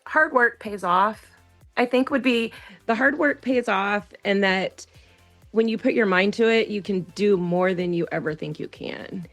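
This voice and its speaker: A woman's voice: mid-range voice, a little slow, fairly bright tone, soft background music. A woman talking casually as an interview guest, enthusiastic, often repeating and restarting her phrases. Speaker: podcast guest, school teacher.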